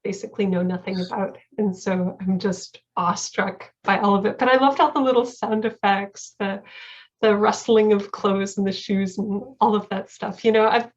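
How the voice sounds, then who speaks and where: A woman's voice: medium pitch, fairly even intonation, normal speed. A woman chatting casually as a participant in a conference discussion, heard over a video call. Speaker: panel participant, conference staffer. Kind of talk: casual chat. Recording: slightly dull video-call audio.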